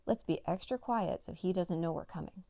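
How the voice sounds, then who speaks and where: A woman's voice: on the low side, normal speed, creaky, dark tone. A woman voicing an earnest, eager female dog who is a team player in a children's storybook. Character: eager, cooperative female Mountain Cur dog.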